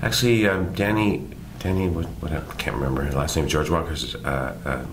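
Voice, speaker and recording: Man, middle-aged, from canada, very low voice, easygoing and self-mocking, interview guest. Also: brisk, background noise. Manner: relaxed, self-deprecating, rambling. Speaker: guest actor. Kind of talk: casual interview chat.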